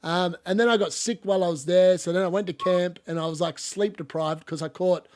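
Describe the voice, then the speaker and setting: A man's voice: high voice, steady tone, fairly quick, a little mellow. A man hosting a casual football podcast, rambling and laughing often, still getting over a cough. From Australia. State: lingering cough. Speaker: podcast host.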